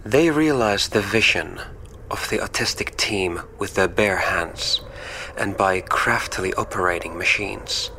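A man reading a scripted, poetic introduction in a measured way, dropping some phrases to a whisper. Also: fairly low pitch, bright, forceful tone, a little hiss or hum.

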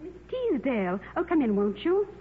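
An actress playing a caring young stewardess in a 1950s radio drama, earnest and gentle with a slight hesitance and quiet determination. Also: female voice, high voice, very animated, normal speed, music in the background. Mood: gentle concern. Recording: reverberant, tinny, noisy old radio broadcast.

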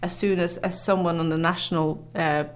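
A woman from Norway with a Norwegian accent, a journalist and author telling her story in an interview, chatty and quick to laugh. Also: on the low side, steady tone. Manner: chatty, laughs often, many fillers and restarts.

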